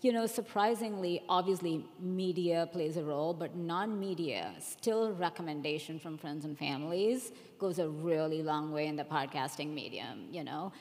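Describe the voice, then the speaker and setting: Low-voiced woman on a panel, reverberant hall. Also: normal speed, hardly any pauses, darkish tone. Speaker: panelist, audience research executive. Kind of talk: casual panel discussion.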